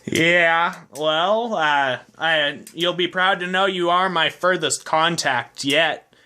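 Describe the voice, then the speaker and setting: A man with a fairly high, bright, forward voice talks casually as a ham radio operator. His delivery is conversational and informal, captured on a home video of the radio exchange. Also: slow, a little hiss or hum.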